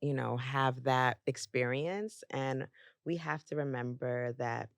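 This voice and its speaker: A woman's voice: very deep voice, slow pace, vocal fry, no room sound, clean studio sound. A young adult woman as a podcast guest, talking casually with lots of fillers and easy laughter. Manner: warm storytelling with frequent fillers and laughs. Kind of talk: casual interview chat.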